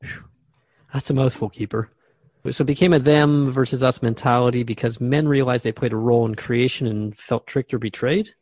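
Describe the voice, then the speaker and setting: A man's voice: mid-range voice, fairly even intonation, normal speed, darkish tone, no echo. A man as a radio host, asking questions and thinking aloud in scripted drama dialogue.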